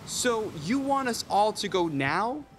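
Sceptical crewman, audio drama male actor: high, swooping, questioning voice, faint ambience. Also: very animated, slow, soft background music, full character voice. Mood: doubtful. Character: cautious, doubting male crew member.

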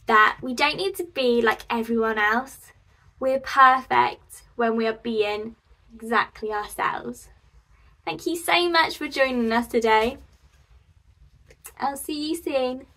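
A woman in her twenties reading a picture book aloud to preschoolers, animated and expressive. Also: fairly high pitch, slow pace, recorded from a distance. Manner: animated, expressive, addressing young children. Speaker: children's storyteller and host.

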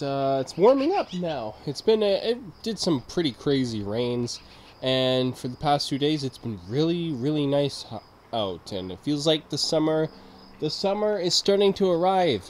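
A young man with a stuffed-up voice from a cold, giving a relaxed, rambling tour of his backyard garden on a handheld camera. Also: fairly high pitch, slight background noise. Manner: relaxed, rambling, easily amazed. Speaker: gardening channel host. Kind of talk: casual garden tour vlog. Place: backyard garden outdoors at dusk. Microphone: handheld camera mic, filming himself walking.

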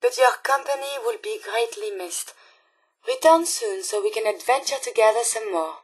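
Polite french-accented lady robot scientist from a video game, formal and earnest, highish voice, dry sound. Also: normal speed, husky, no room sound, low-quality recording. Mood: tender. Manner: formal, precise, carefully worded. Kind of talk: video game character dialogue.

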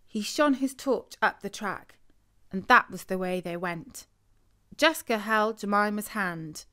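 Slow-paced British woman reading a story. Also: mid-range voice, fairly bright tone. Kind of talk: scripted bedtime story narration for children.

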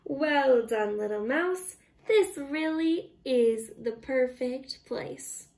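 Woman playing a high, eager, fussy fox. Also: expressive, extremely slow, a little mellow, exaggerated voice. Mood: emphatic. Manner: exclaiming, emphatic storybook delivery. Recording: roomy, echoey, distant microphone.

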